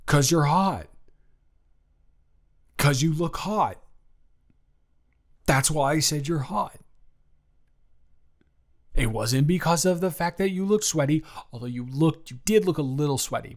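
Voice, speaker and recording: A man playing a flustered, evasive young roommate in an improvised audio roleplay, rambling and halting with theatrical exasperation. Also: a little high. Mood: flustered confessing. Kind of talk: improvised intimate roleplay monologue.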